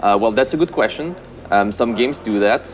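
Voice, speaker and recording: A man with a fairly low voice answers questions casually, pausing often with ums and uhs. He sounds relaxed and easygoing and drops in a bit of dry, self-mocking humor. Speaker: senior game producer.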